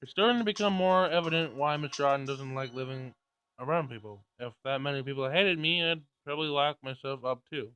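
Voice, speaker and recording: A man's voice: on the high side, fairly quick, a bit bright, highs cut off. A man giving casual gameplay commentary and reading game dialogue aloud, with blunt, sarcastic asides.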